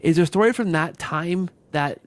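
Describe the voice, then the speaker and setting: A man's voice: fairly high pitch, normal speed. A man hosting a conversational podcast interview, talking earnestly in rambling sentences and repeating phrases as he goes. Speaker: podcast host.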